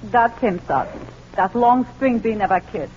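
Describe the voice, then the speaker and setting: A woman's voice: a little high, expressive, normal speed, close to an everyday voice. A nosy, matter-of-fact tenement housewife acted by a woman. She is blunt and emphatic, heard on a muffled 1940s radio recording with light noise. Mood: blunt, accusing. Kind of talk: 1940s radio drama acting.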